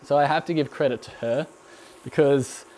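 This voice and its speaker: A man's voice: on the high side, moderate pace. A young Australian man with a mild accent hosting a live cooking stream, chatting loosely with fillers while he answers viewer questions. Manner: rambling and relaxed, frequent ums, laughs often. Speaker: cooking channel host.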